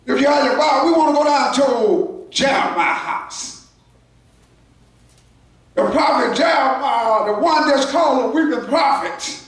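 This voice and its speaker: High-voiced shouting preacher in an echoey church. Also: male voice, expressive, a little slow, recorded from a distance. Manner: preaching in shouted bursts with call-outs to the congregation. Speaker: pastor. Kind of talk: sermon. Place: church sanctuary.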